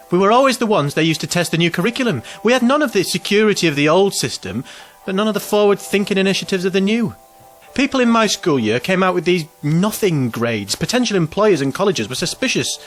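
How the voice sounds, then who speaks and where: Audio drama lead: man in his twenties, anxious and self-deprecating, rambling, very animated pitch, music underneath. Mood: exasperated, glum. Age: 20s. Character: anxious, self-pitying twenty-seven-year-old man.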